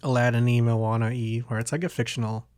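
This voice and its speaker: A man with a mid-pitched voice talks casually, like a podcast host. He feels his way through thoughts aloud, rambling and hesitant, in a very dry recording with no room sound. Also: flat delivery, fairly quick, darkish tone, clean tone, no echo. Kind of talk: casual chat.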